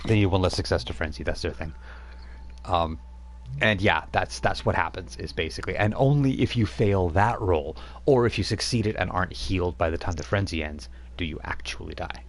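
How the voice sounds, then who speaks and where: A man with a very low, deep voice runs a tabletop game. He explains the rules step by step and pauses often with fillers as he talks the players through them. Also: a little hiss or hum. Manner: steady, explanatory, halting with fillers. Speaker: game master.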